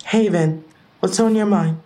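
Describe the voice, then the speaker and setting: A woman playing a calm, patient therapy group leader in an audio drama, gently prompting others. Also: medium pitch, measured pace, natural voice.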